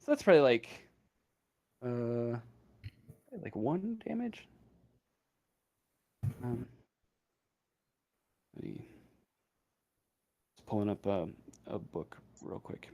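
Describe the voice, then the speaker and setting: Guy running a tabletop rpg, mid pitch, talks slow and halting, feeling for words. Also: huge pitch swings, vocal fry, darkish tone. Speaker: game master. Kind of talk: casual improvised narration of a tabletop game.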